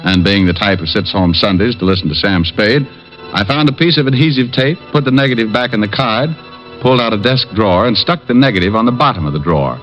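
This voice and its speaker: Guy doing a cool wisecracking detective, lowish voice, old dull radio sound with faint music under it. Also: quick pace, fairly bright tone, natural voice. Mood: narrating. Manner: dry, sardonic wisecracks. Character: wisecracking private eye, cool and dry. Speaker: narrator and lead. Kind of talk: 1950s radio detective acting and narration.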